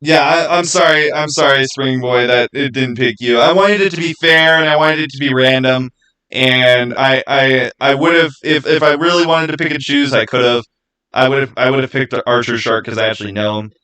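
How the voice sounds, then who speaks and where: A man from the Americas chatting casually as a livestreamer, answering viewers and explaining himself in a halting way with repeated false starts. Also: medium pitch, pushed and bright, low-quality recording. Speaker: live streamer and gaming YouTuber.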